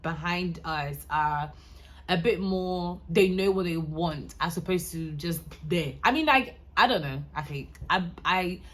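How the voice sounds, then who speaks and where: A woman's voice: on the low side, unhurried, fairly bright tone. Candid, rambling young woman vlogger. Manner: candid, rambling, goes off topic, swears, laughs often. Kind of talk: casual rambling chat to camera.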